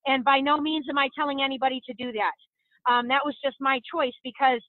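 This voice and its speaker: A woman's voice: high voice, fairly even intonation, fairly quick, dry recording. A woman giving an earnest, encouraging wrap-up on a conference call, with many fillers. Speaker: network marketing leader. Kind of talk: motivational call talk. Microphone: phone on a conference call. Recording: tinny phone line.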